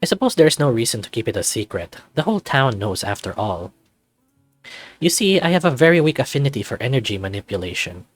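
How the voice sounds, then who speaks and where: A man's voice: mid-range voice, very animated, brisk, no echo, faint music behind. A man voicing a sarcastic, anxious young furry student in a visual novel, narrating in a wry, self-deprecating, conversational way. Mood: cocky storytelling. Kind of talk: visual novel character voice-over.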